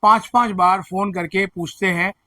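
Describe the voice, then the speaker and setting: A man with a hoarse throat presenting education news, explaining admission figures and earnestly reassuring students and parents. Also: high voice, fairly even intonation, normal speed, pushed and bright, no echo. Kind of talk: direct-to-camera advisory commentary.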